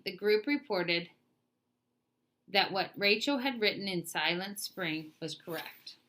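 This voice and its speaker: A woman reading a children's nonfiction book aloud, phrasing it carefully in short, clear pieces. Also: mid-range voice, sharp tone. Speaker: read-aloud narrator.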